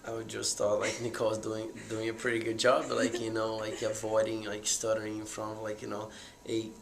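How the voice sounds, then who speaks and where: A young man asking questions in a loose, halting way full of fillers. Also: fairly low pitch, monotone, vocal fry. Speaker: interviewer. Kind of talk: casual conversation. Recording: echoey room, faint noise, voice away from the mic.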